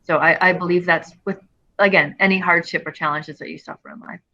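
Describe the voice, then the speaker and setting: A middle-aged woman talking as an interview guest in an earnest, expressive way, often restarting her phrases. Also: lowish voice, brisk, muffled recording. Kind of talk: casual interview conversation.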